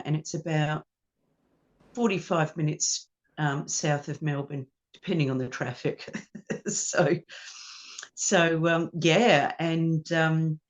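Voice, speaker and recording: A woman chats as a guest over a video call from her home music studio. She is friendly and loose, with plenty of ums as she goes. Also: deep voice. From New Zealand. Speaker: ukulele teacher and performer, guest. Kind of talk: casual interview chat.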